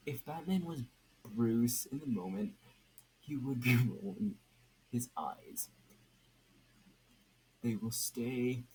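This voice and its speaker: A man's voice: medium pitch, expressive, slow pace, background noise. A young man reading a story aloud as an amateur, stumbling over and repeating words. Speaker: amateur podfic reader.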